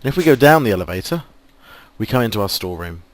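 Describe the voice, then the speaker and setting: A man's voice: fairly low pitch, sing-song, fast talker, no room sound. An English man commentating casually on his game, thinking aloud with many ums, while losing his voice. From England. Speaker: gaming video host. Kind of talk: casual let's play commentary.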